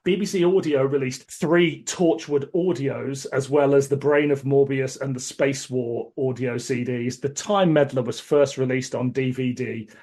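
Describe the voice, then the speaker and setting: A man's voice: mid-range voice, fairly even intonation, measured pace, hardly any pauses, warm tone. A British man hosting a podcast, moving between prepared notes and chat. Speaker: podcast host. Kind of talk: podcast talk, reading prepared notes and chatting.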